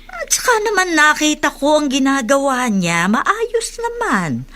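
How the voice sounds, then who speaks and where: An actress with a Filipino accent playing a concerned older household confidante in a radio drama, frank and questioning. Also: female voice, high-pitched, very animated, a little slow, sharp tone, heavily acted. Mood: probing, reasoning.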